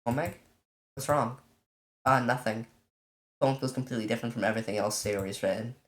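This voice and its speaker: A let's player casually commenting on a visual novel and reading its text aloud, in an informal, halting way. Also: mid-range voice. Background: game music.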